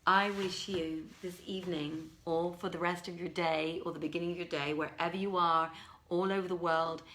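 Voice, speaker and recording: A woman with an expressive, courteous manner, working as a live story reader with theatrical, carefully phrased delivery. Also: fairly low pitch, moderate pace, a little hiss or hum, poor audio. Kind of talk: theatrical storybook reading and informal livestream talk.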